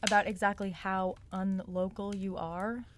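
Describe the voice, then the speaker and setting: A woman's voice: medium pitch, little pitch movement, measured pace, background noise, close to an everyday voice. A woman playing a gutsy, sardonic young northern woman on the run in an audio drama, with a blunt, wry delivery. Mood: needling, quieter. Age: young adult.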